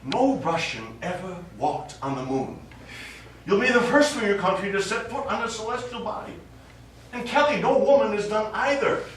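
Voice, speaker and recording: An actor playing a supportive, needling astronaut in a stage play, talking in a colloquial, prodding way. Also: male voice, sits high, lively intonation, normal speed. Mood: encouraging. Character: supportive, needling male astronaut crewmate who stays behind. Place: small studio theatre, recorded from afar. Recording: very echoey, noisy, distant stage recording.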